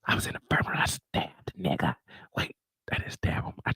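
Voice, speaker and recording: A man's voice: very high-pitched, expressive, creaky, slightly rough, no room sound, clean studio sound, voice pushed up, full character voice. A man voicing a nervous jailed man who whispers frantically into a smuggled phone. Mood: hushed, panicky. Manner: hushed, rushed whisper.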